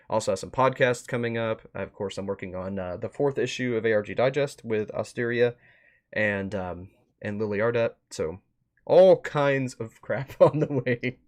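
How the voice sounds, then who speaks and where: A male streamer with a fairly low voice talks casually to his viewers. He rambles and thinks out loud as he goes, in an informal, chatty host style. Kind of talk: casual livestream wrap-up chat.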